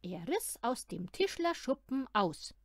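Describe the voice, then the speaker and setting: A woman's voice: on the high side, big pitch swings, slow, no echo, clean studio sound. A woman narrating a children's story from a script, expressively and as if speaking to a young listener. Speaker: audiobook reader.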